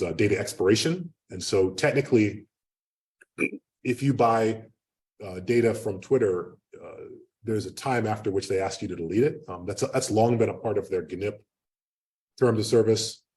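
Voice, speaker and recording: A man giving an unscripted academic lecture in a loud, projecting voice, talking conversationally with lots of fillers and restarts. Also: fairly low pitch, moderate pace. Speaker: guest lecturer. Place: lecture room. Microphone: speaking away from the microphone. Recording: distant room mic.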